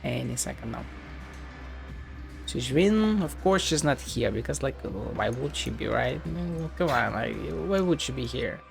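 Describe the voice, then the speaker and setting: A man's voice: medium pitch, lively intonation, a little slow, gravelly, music in the background. A man giving casual, offhand game commentary and reading text aloud, muttering now and then. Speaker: video game streamer.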